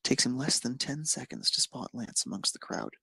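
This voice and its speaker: Male narrator for fan fiction, mid-pitched with a rough, creaky edge, scripted read. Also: huge pitch swings, fairly quick, pushed and bright, no room sound.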